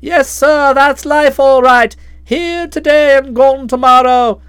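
A woman voicing a bluff, hearty middle-aged English aunt who speaks loudly and bluntly in an audiobook. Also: steady tone, takes it slowly, no echo, clean studio sound. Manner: loud, booming and blunt.

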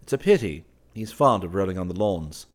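A man's voice: deep voice, expressive, fairly quick. A man voicing an easygoing young male art student, breezy and nonchalant.

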